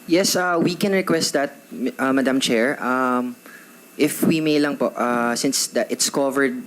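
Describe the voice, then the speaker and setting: A man's voice: medium pitch, slight background noise, rough recording. A man with a Filipino accent replying formally as an attorney at a hearing, polite and hesitant. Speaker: tax bureau attorney.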